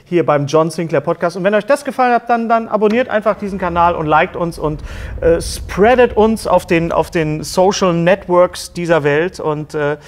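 A middle-aged man hosting an interview, chatting casually and feeling his way through halting questions. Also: high voice. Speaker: talk show host, interviewer. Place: small indoor talk-show set, seated at a table.

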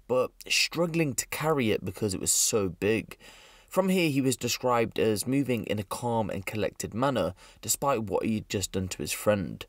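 A man's voice: lowish voice, dry recording, studio quality. A man narrating a scripted true crime story in a serious storytelling manner.

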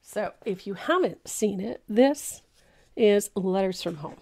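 Older lady painting teacher, stuffy and a bit gravelly, mellow voice, chatty live show. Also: mid-range voice, expressive, slow pace. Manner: chatty, warm-hearted, laughs often, many ums. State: congested, a little gravelly. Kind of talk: casual live painting tutorial. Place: home craft studio, indoors. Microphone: studio mic on boom arm.

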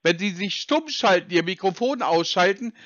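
A man with a notably high voice talks on a phone call. He is insistent and pedantic, often complaining, in a dry, slightly lo-fi recording. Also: animated, moderate pace, fairly bright tone, no echo. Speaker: scambaiter on a prank call. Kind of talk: phone conversation. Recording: dry, slightly dull lo-fi sound.

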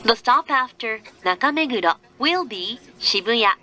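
A woman gives formal train announcements with careful diction. Her delivery is slow with lively intonation and a bright tone, over the noise of the train. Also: on the high side. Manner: formal, measured, carefully enunciated. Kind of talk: scripted public train announcement. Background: moving train noise.

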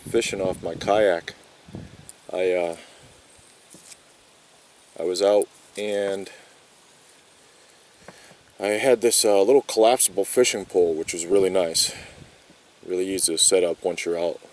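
A man's voice: low-pitched, a little slow, long pauses. A man in his thirties casually chatting while kayaking on a pond, rambling with lots of fillers, filmed on a close camera outdoors. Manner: relaxed, rambling, frequent "uh" fillers. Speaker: outdoor vlogger. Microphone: camera close at arm's length. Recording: wind noise outdoors.

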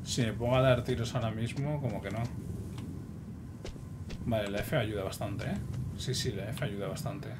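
A man with a Spain Spanish accent giving casual, excitable commentary while he plays a game. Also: on the low side, moderate pace, pauses often. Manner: chatty, excitable, talks to himself. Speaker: gameplay streamer. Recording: noisy recording.

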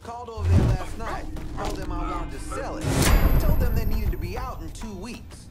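An actor playing a brash, excitable, hot-tempered young robber in a crime game. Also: male voice, high-pitched, normal speed, lightly acted. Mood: storytelling. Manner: loud, profane, urgent. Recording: very loud music over voice.

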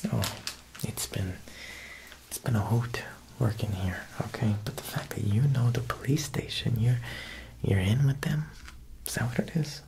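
A young man playing a smooth, quietly menacing Italian mob boss tailor in an ASMR roleplay, speaking softly and unhurriedly at a close mic, with insinuating pauses. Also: fairly low pitch. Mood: curious, probing. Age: young adult. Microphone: close ASMR microphone.

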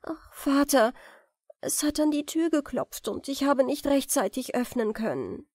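A woman's voice: high-pitched, animated, measured pace, a little mellow, dry recording, clean studio sound, voice pushed up. A woman voicing an anxious, plaintive little girl in a fairy-tale audiobook, pleading as she talks.

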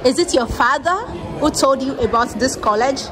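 A woman vlogger interviewing students, patient and encouraging, rephrasing her questions slowly. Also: sits high, crowd noise. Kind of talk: casual on-camera interviews.

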